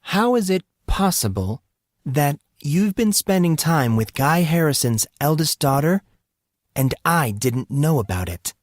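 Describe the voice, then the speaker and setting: A man's voice: on the high side, very animated, unhurried, dry recording, clean studio sound, voice pushed up. A man voicing a smug, prying, motherly woman with a sweetly insistent manner in an audiobook. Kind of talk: audiobook character voice.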